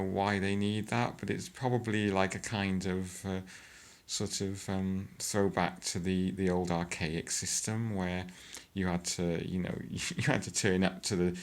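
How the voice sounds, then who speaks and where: A deep, gravelly voice from a woman talking straight to camera in a relaxed vlog. She hesitates a lot, filling gaps with ums and clearing her throat between thoughts. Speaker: vlogger. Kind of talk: casual vlog update.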